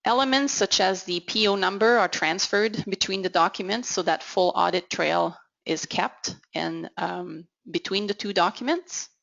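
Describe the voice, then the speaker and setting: A woman giving an instructional software walkthrough as a consultant, in a measured, explanatory way. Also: medium pitch, vocal fry. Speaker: technical consultant presenting a software demo. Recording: dull, lo-fi audio. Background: voice-over for a screen recording.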